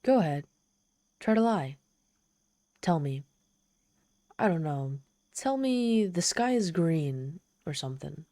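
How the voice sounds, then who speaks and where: Low, mellow, sardonic demon woman, acted out by a woman. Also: big pitch swings, no echo, studio quality, exaggerated voice. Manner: exasperated, sardonic, pleading, halting when vulnerable. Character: trapped tsundere female demon, proud, sardonic, desperately lonely. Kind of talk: ASMR roleplay monologue, dramatic storytelling.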